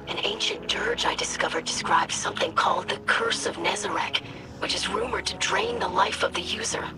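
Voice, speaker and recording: A woman voicing an earnest, dutiful young female alien scholar in a video game, with formal, precise diction and a breathy voice. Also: medium pitch, normal speed, natural voice, poor audio. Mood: thoughtful, cautious. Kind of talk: scripted video game dialogue. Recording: music under the voice.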